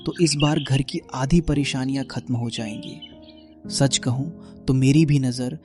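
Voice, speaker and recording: A man playing a flattering, money-minded brother-in-law in a village cartoon. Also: medium pitch, unhurried, music in the background, close to an everyday voice. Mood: greedy. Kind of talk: Hindi cartoon story acting.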